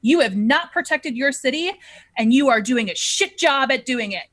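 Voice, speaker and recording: A woman plays a furious female axe-wielding slayer in a fantasy tabletop game. She scolds and threatens in a high, commanding voice, recorded close and dry. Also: lively intonation, moderate pace, no room sound. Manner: forceful, scolding.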